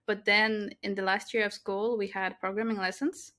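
A woman's voice: mid-range voice, flat delivery, normal speed, a bit bright. Young woman, tech guest; earnest, thoughtful, many fillers. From Latvia. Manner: earnest, with frequent fillers and restarts. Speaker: guest, mobile tech lead. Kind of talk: interview conversation.

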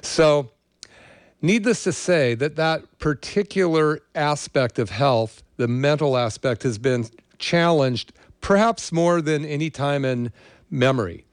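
A man's voice: on the high side, slow, clear, resonant tone, no echo, studio quality. An older man with an American accent, hosting a show in a casual, rambling monologue with personal storytelling. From California, USA. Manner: rambling, conversational. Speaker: show host.

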